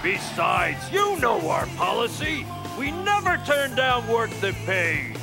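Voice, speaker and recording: Brash gravelly crocodile boss. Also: male voice, very high voice, huge pitch swings, takes it slowly, heavily acted. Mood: bossy. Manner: loud, streetwise, bossy. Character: brash gruff crocodile detective boss, money-minded. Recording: game music behind the voice.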